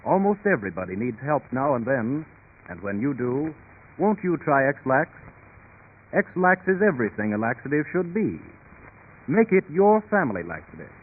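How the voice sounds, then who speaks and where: An American man announcing and narrating in a polished, dramatic, emphatic 1930s radio style. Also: mid-range voice. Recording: tinny 1930s radio, music behind.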